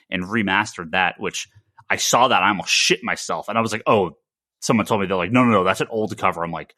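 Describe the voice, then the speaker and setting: Male American podcast host, lowish bright voice, stammering repeats, emphatic opinions in casual talk. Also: dry recording, clean studio sound. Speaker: comic shop retailer.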